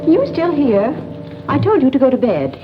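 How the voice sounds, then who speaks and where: A stern, scolding household boss in an old radio drama. Her high voice leaps about as she nags, on a muffled vintage recording. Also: sing-song, normal speed, over loud music. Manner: stern, nagging. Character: bossy, scolding woman minding a young boy. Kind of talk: 1940s radio acting.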